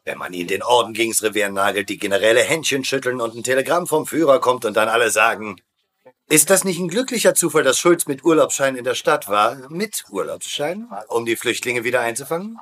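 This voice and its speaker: An actor playing a cheeky, smooth-talking prisoner officer in a comedy dub, sly, mocking and glib. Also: male voice, medium pitch, expressive, fairly quick, a bit bright, natural voice. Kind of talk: German comedy dub acting.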